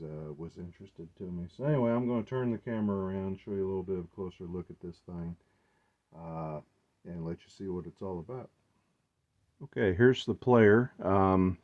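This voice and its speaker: Low-voiced man, rambling casually, dull recording. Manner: halting, unscripted, thinking aloud. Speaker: collector presenting his gear. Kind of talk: casual rambling show-and-tell. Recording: dull, muffled lo-fi sound.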